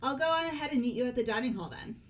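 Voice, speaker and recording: A woman voicing a sweet, sincere, gently teasing teenage girl in a video game. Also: high-pitched, expressive, racing. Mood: businesslike. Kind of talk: fan voice acting of visual novel dialogue.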